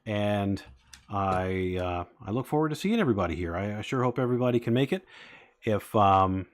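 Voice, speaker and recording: Easygoing man in his forties, lowish voice. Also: lively intonation, normal speed. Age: 40s. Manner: rambling, chatting to viewers with many fillers. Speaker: streamer building toy sets. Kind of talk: casual live-stream chat.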